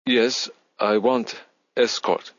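A man's voice: fairly low pitch, slow, highs cut off, voice pushed up. A man voicing an eager, awkward German tourist who speaks broken English in short blunt phrases with a German accent. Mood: pleading. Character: Becker posing as bumbling German male tourist, eager and awkward.

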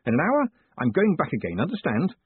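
Through a narrow phone-like band comes a brash, bossy postmaster in a storybook, acted by a male reader. He is smooth-tongued and curt. The voice is very husky and lively in pitch. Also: moderate pace, no echo, full character voice. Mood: brusque, impatient. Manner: curt orders in clipped bursts. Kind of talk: audiobook character dialogue. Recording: muffled, thin phone-like sound.

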